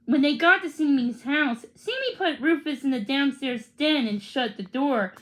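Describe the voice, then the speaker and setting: A woman's voice: sits high, lively intonation, normal speed, fairly bright tone, low-quality recording. A woman reading a children's storybook aloud, stumbling and repeating words here and there as she goes. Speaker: read-along reader.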